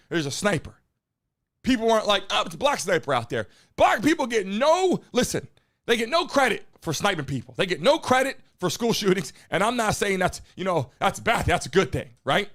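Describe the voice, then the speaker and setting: Brief: high-pitched guy hosting a live stream, brash hype-man who keeps calling out to his chat. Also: big pitch swings, brisk, a bit bright. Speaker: live-stream show host. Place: home studio in Miami.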